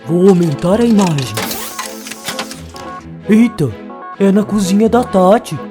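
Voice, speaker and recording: An anxious, well-meaning cartoon rescuer acted by a woman, with a medium-pitched voice. The delivery is slow and full of drawn-out mutters and exclamations, set over clear music. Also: animated, warm tone, natural voice. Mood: worried. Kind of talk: children's cartoon voice acting. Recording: music behind the voice.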